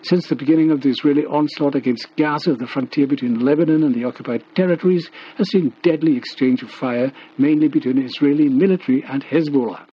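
A man's voice: a little high, fast, few pauses, slightly rough. A man reading formal scripted news on the radio. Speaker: radio newsreader. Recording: dull, muffled shortwave radio recording.

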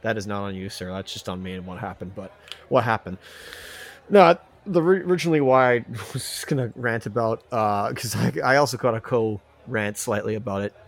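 A man co-hosting a podcast in casual banter, ranting in a halting way and repeating himself with mild exclamations. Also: on the low side. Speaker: podcast co-host.

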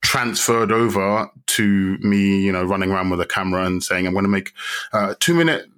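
A man's voice: sits low, normal speed, fairly bright tone. A young man from London with a London accent, chatting casually as an interview guest, rambling through restarts and fillers. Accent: London English. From London, England. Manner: chatty, many restarts and fillers, self-deprecating. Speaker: guest, videographer.

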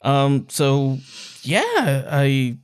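A man with a medium-pitched voice talks as a podcast co-host. His delivery is casual and playful, in the loose style of an informal book discussion. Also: wildly swooping pitch, drawn-out words, clear, resonant tone.

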